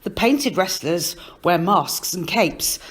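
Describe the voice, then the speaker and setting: A woman reading a children's novel aloud as scripted narration, in an expressive storytelling manner. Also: fairly low pitch, takes it slowly, breathy edge. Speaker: storybook reader.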